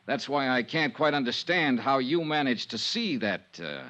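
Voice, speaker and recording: Hardboiled investigator for vintage radio crime drama: medium-pitched, clipped, dogged, firm, dramatic. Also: male voice, expressive, moderate pace, sharp tone, lo-fi sound. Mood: probing. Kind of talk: 1950s radio drama acting and first-person narration.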